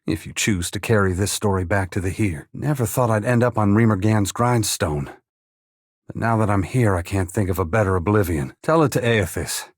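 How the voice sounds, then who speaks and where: An actor playing a humble, weary dead farmer-saint in a fantasy video game, plain-spoken yet solemn, with a gravelly, husky voice. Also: male voice, on the low side, no echo, clean studio sound, lightly acted. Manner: plain-spoken rustic phrasing mixed with solemn archaic oratory. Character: humble dead farmer-saint, once god-touched, wry, earnest and weary. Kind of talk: dramatic fantasy game dialogue.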